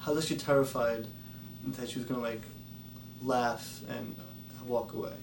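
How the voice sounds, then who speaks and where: A young man who stutters, talking candidly in a therapy session, halting and hesitant with many fillers. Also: medium pitch, steady tone, creaky, reverberant, far from the mic, noisy background. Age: young adult. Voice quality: stutters, with blocks and repeated words. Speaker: speech therapy client. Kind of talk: candid interview talk.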